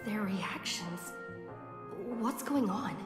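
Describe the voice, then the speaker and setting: Bewildered young game heroine, unhurried, hesitant, echoing over music. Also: female voice, medium pitch, animated. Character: bewildered young heroine questioning a strange dreamlike situation. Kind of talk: video game voice acting. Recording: echoey hall reverb with music behind.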